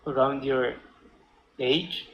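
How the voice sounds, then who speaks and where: Slow, halting Romanian-accented man. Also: medium pitch, fairly even intonation, lo-fi sound, a little hiss or hum. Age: 30s. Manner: hesitant, halting, many fillers and restarts. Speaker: guest. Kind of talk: casual chat.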